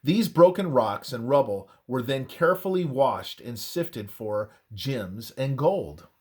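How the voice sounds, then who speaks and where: Male narrator, mid-pitched, for scripted religious history. Unhurried, deliberate read with widely varied intonation. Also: husky.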